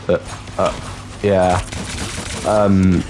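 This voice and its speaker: A young man in his twenties from North Wales chats casually with viewers on a livestream, halting and restarting often. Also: sits low, level tone. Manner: chatty, halting, with many restarts. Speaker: streamer. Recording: game music clearly behind the voice. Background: game music.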